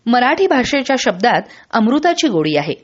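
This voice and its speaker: High-pitched woman newsreader, lively intonation. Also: normal speed, dull audio. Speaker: radio news reader. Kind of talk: scripted regional news bulletin read-out.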